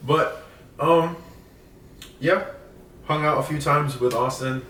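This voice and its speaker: A man's voice: fairly high pitch, slow pace, a bit bright. A man in his twenties hosting a casual chat, informal and slangy, echoing phrases. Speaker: podcast host. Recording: echoey room, distant, faint noise.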